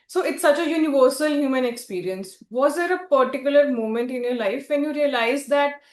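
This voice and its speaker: A woman's voice: high-pitched, a little fast. A woman hosting an author interview, speaking politely and deferentially with partly scripted introductions and questions. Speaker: interviewer and host for a publisher. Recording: roomy echo.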